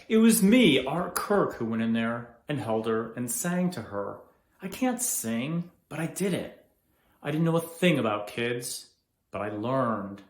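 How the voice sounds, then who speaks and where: A man's voice: medium pitch, close to an everyday voice. A man acting a bitter, proud, betrayed husband and stepfather in a dramatic stage monologue. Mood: defensive, proud. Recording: echoey room.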